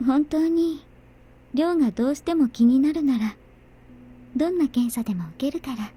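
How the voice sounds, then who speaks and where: An actress voicing a cool, blunt but quietly earnest young woman in a visual novel, with a matter-of-fact, understated manner. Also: high voice, very fast, natural voice. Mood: earnest, slightly put out. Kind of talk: Japanese visual novel voice acting. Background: game music.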